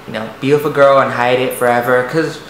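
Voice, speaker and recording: Young man, light soft voice, halting and rambling confessional delivery, recorded in a roomy space with faint background hiss. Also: medium pitch, fairly even intonation. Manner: hesitant, rambling, many restarts and fillers. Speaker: vlogger. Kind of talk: personal storytelling to camera. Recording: echoey room, faint noise.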